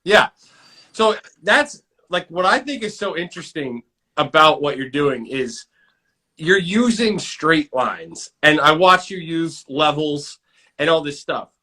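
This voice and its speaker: A man's voice: a little high, expressive, slow pace, bright, forceful tone. A man from Kansas interviewing an artist, asking curious, eager questions in a casual chat.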